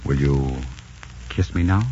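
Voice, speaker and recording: An actor playing a cocky, teasing pirate lover in the theatrical, flirtatious manner of 1940s radio romance. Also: male voice, very deep voice, unhurried, dark tone, a little hiss or hum. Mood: amorous teasing. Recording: lo-fi 1940s radio, music behind.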